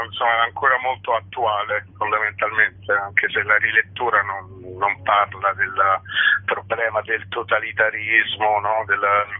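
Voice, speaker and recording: A man's voice: lowish voice, dry recording. A man, a playwright and director, explaining his show at length in a radio interview over the phone, often hedging his words. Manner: talkative, hedging with "diciamo". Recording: tinny phone line, dry.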